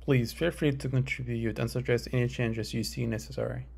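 A man giving a scripted academic presentation as a researcher, in a measured, formal, explanatory way. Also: mid-range voice, fairly even intonation, gravelly, a little mellow. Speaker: researcher presenting a conference paper.

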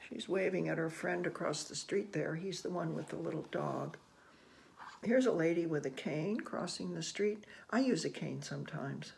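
An older person reading a picture book aloud to children, gently and unhurriedly, with musing asides. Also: mid-range voice, vocal fry, a little hiss or hum. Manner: gentle, unhurried, musing with soft hmms and ohs. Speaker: children's read-aloud host. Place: small room indoors at home.

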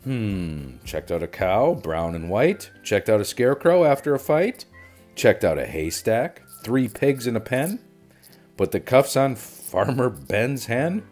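A man reading a children's storybook aloud in an animated, deliberate storytelling manner. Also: mid-range voice, soft background music. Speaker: children's book reader. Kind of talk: expressive storybook read-aloud.

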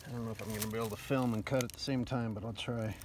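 A man's voice: medium pitch, fairly even intonation, racing. A man foraging in the woods, chatting casually on a handheld camera and talking to his dog. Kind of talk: casual on-the-spot vlog talk. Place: outdoors in woodland. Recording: loud outdoor noise on camera mic.

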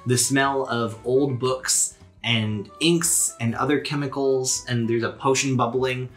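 A man's voice: mid-range voice, steady tone, slow pace, a bit bright, faint music behind. A man narrating a tabletop game podcast as its game master.

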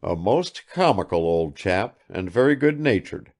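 A man's voice: fairly low pitch, sing-song, a little slow, darkish tone. A man reading aloud and voicing a blunt, nonchalant young man with a curt, dismissive manner.

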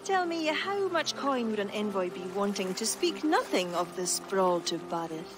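An actress playing a brash, teasing young Irish warrior poetess in a game cutscene, with a wry, playful, theatrical manner. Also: female voice, mid-range voice, very animated, moderate pace, background noise, natural voice.

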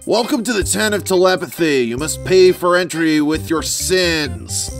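Guy doing moody emo teen ticket guy, deadpan cartoon voice, music behind. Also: on the high side, measured pace. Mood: gloomy, deadpan. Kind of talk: fan cartoon voice acting.

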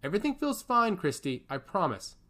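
A man's voice: high-pitched, big pitch swings, a little fast, a bit bright, pitched above the performer's own voice. A man voicing a weary adult male cyborg soldier in an audiobook story, talking in a dry, sardonic way. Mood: wry. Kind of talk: audiobook character voice.

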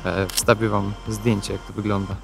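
A man's voice: fairly low pitch, flat delivery, normal speed, vocal fry. A Polish man giving casual travel vlog narration as host, speaking outdoors on a hiking trail. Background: music.

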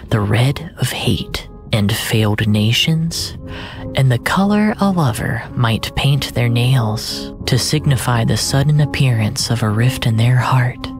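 A man tells a dramatic story at a slow, deliberate pace. His voice sits at a medium pitch and often turns breathy, close to a whisper. The delivery is solemn and literary, with prominent music underneath. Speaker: narrator. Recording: narration over a music bed.

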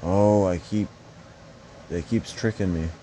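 A man's voice: low voice, steady tone, slow, warm tone, slight background noise, rough recording. A young man casually commenting on a mobile fighting game, rambling and swearing with joking asides.

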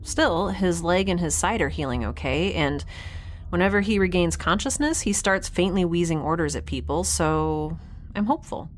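A woman's voice: on the low side, lively intonation, moderate pace, slight background noise, close to an everyday voice. An actress playing a candid young woman scientist in a sci-fi audio drama, recording a rambling, hesitant log with dry wit. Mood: weary, wry. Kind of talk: audio diary narration in scripted drama.

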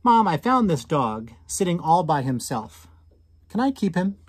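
A man's voice: fairly high pitch, very animated, a little slow, husky, no echo, pitched above the performer's own voice, heavily acted. A man reading the part of an eager, pleading little boy in a storybook, with hopeful rising questions.

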